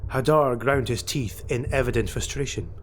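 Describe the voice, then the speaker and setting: A man narrating a fantasy audiobook with measured, deliberate, dramatic storytelling. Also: medium pitch, slight background noise.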